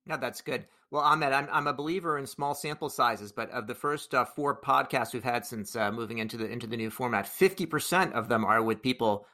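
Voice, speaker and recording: A man's voice: medium pitch, fairly quick, hardly any pauses, pushed and bright. A man hosting a business podcast, joking with his guest and asking questions, with small restarts. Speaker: podcast host. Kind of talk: conversational interview.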